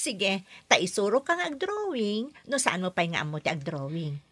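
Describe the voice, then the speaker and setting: A woman playing a patient household nanny in a radio play, husky and mid-pitched, speaking gently and instructively. Her voice sits dry and close, politely deferential toward her employer. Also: sing-song, measured pace, no room sound, full character voice. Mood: gentle. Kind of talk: radio drama acting.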